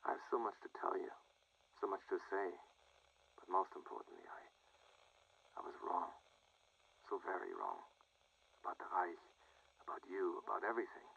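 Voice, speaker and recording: A remorseful man in a video game audio log. His voice is low and full of gravel, hushed and grave, halting as he confesses. Faint radio-like noise sits behind him. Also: sing-song, dull audio, exaggerated voice. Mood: remorseful, pleading. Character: remorseful, weary man confessing in a secret message.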